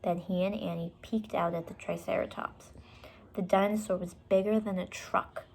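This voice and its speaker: A woman's voice: lowish voice, steady tone, normal speed, gravelly, a little mellow. A woman storyteller narrating a children's book, with short explanatory asides for young listeners.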